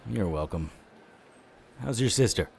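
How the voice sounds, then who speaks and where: An actor playing a tough, wry private eye in a noir audio drama, with a deadpan delivery. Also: male voice, lowish voice, a little slow, gravelly, slight background noise. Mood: businesslike.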